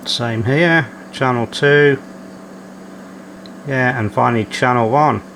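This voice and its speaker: A man's voice: mid-range voice, takes it slowly. A man hosting a repair video, thinking aloud step by step as he gives hands-on technical commentary. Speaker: repair channel host. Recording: clearly noisy, steady hum behind.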